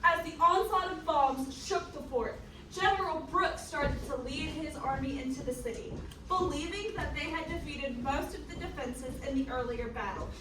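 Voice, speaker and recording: A woman's voice: sits high, moderate pace. A woman narrating a comic scripted history in a theatrical manner with sardonic asides. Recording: roomy, distant mic, faint noise.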